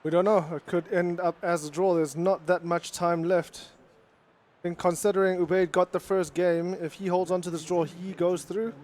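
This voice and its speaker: A man co-commentating a football video game, giving brief remarks and rising to excited goal calls. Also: sits high, moderate pace. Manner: short remarks, builds to shouts on goals. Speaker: esports co-commentator. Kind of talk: colour commentary.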